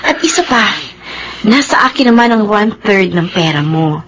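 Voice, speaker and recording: A woman's voice: mid-range voice, animated, normal speed, a bit bright, slight background noise, lightly acted. An actress playing a consoling, pious woman in a Filipino radio drama. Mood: soothing. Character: consoling, pious woman counselling a bitter man.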